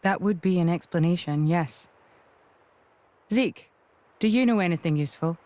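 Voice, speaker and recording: An actress voicing a serious female officer who reasons aloud in a formal, measured way. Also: fairly low pitch, dark tone, no room sound, close to an everyday voice. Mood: pensive.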